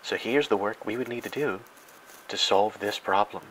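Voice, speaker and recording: A man narrating a parody educational film in a mock-serious, grandiose and deadpan way. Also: fairly low pitch, moderate pace, a little hiss or hum, poor audio. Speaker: narrator and host.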